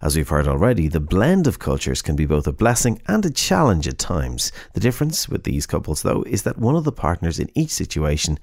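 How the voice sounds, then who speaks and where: A man presenting a radio show in a measured, announcing way. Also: deep voice, huge pitch swings, vocal fry, a little mellow, no room sound, clean studio sound.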